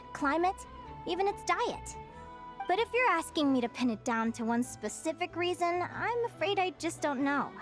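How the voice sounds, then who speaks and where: A woman playing an earnest, gentle young female naturalist in a video game, explaining things articulately and with curiosity. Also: high voice. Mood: earnest, pleased. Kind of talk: scripted video game character dialogue.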